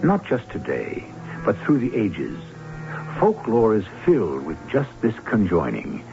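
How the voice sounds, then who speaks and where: A man hosting a radio drama with scripted, deliberate, theatrical and literary narration. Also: on the low side, huge pitch swings, vocal fry. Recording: muffled old radio with prominent music.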